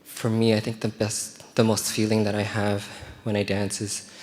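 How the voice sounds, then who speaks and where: A dancer speaking after a performance, a man answering in a thoughtful, measured and sincere way. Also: on the low side, monotone, a little mellow. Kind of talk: post-show talkback. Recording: reverberant theatre hall.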